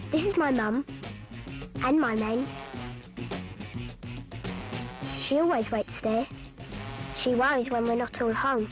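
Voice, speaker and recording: A very high, young English child's voice, tells family tales in a public information film. The delivery is chatty and matter-of-fact, cheekily exasperated with adults, with music playing behind. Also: female voice. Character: young British schoolchild, cheeky, exasperated by grown-ups.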